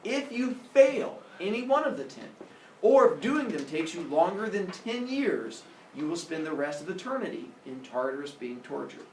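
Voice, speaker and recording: A man's voice: sits high, very animated, normal speed, reverberant, far from the mic, a little hiss or hum, rough recording. A man voicing an exasperated, fatherly Greek god who talks in a colloquial, matter-of-fact way.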